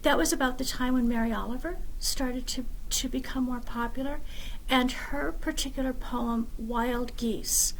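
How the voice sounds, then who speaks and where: A middle-aged woman, a guest poet, talking thoughtfully about her life in a casual conversation and pausing with fillers. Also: high voice, fairly even intonation, slight background noise, rough recording. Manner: thoughtful, warm storytelling with fillers.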